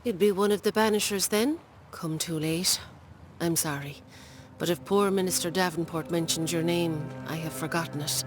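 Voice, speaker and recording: A woman's voice: fairly low pitch, normal speed, lightly acted. An actress playing a wary colonial townswoman in a video game, with a blunt, cool way of talking. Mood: wary, brusque. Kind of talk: video game cutscene acting. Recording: clear music under the dialogue.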